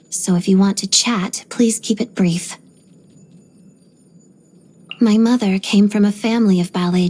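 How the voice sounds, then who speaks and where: An actress voicing a reserved, disciplined young ballerina in a video game, speaking softly in a measured, guarded way with quiet pride. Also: female voice, mid-range voice. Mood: cool, businesslike, then wistful. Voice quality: soft, breathy. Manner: measured, composed, polite but guarded. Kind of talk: video game character voice lines.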